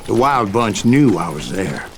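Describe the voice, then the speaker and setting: A man's voice: fairly low pitch, very animated, a little slow, slight background noise. An actor playing a stubborn, haunted old gunslinger who narrates his tales theatrically in a western game. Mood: brooding. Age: older. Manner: theatrical frontier storyteller. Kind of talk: dramatic storytelling narration in a western video game.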